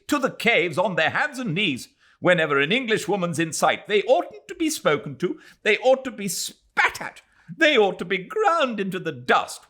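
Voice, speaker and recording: A man with a British accent voicing a spiteful, indignant middle-aged English lady, haughty and shrill. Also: pushed and bright, exaggerated voice.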